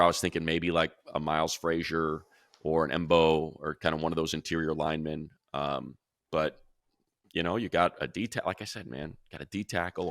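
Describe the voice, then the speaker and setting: Excitable deep-voiced man talking sports. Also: vocal fry, no room sound, clean studio sound. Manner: animated, excitable, rapid runs of analysis. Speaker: radio play-by-play announcer and co-host. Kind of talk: casual sports chat.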